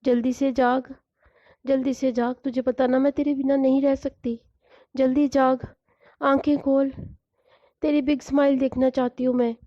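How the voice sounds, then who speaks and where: A woman's voice: high voice, little pitch movement, measured pace, pauses often, no echo, voice pushed up. A woman voicing a grief-stricken middle-aged mother who pleads in frantic disbelief, in a slightly dull, lo-fi recording. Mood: wailing pleas.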